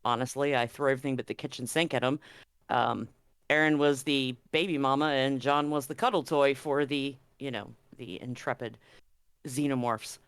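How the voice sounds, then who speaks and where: A man's voice: mid-range voice, fairly even intonation, normal speed, fairly bright tone, clear, resonant tone, no room sound, studio quality. A man acting as game master, hosting and narrating a tabletop roleplaying game stream.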